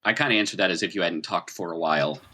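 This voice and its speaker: Game master, male, bright and forceful tone, casual improvised narration with a halting delivery. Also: deep voice.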